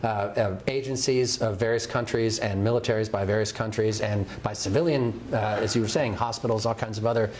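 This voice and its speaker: A man arguing firmly as a studio debate panelist, speaking in a measured way with frequent fillers. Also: on the low side, fairly even intonation, a little mellow, slight background noise. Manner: measured, firm, halting with fillers.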